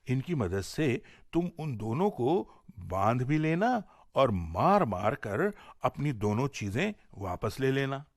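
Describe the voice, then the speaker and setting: A man voicing a kindly, amused female fairy from a folk tale, gently teasing and reassuring. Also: mid-range voice, no room sound, clean studio sound.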